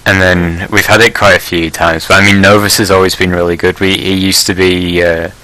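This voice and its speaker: A man with a very low voice and a bright, forceful edge to it. He chats casually on a podcast and rambles through his points with plenty of fillers and restarts. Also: flat delivery, a little fast, rough recording. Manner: laughs at his own blunt jokes. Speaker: leader of a Prussian group in an online game.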